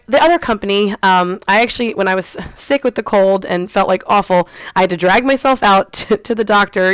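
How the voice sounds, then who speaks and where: A young woman rambles through her experience in a relaxed, chatty way, peppered with ums, restarts and small laughs. Her voice is smooth and clear. The sound is dry and close, with no room echo. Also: medium pitch. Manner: laughs lightly between phrases. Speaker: online English teacher. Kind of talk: casual vlog chat.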